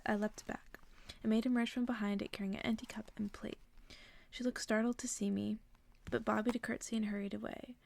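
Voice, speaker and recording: A woman reading a bedtime story as ASMR in a soft, breathy whisper, hushed and gentle. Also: mid-range voice, no echo. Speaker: ASMR creator reading stories aloud. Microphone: close-up microphone.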